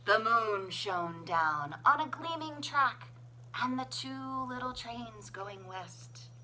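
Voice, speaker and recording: A woman reading a children's picture book aloud as a librarian, with expressive, sing-song, deliberate phrasing. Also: on the high side, a little hiss or hum, poor audio. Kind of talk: children's storybook read-aloud.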